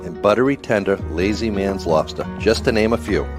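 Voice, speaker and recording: Very low-voiced man over music. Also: brisk. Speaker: restaurant owner. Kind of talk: scripted two-person radio ad. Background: background music.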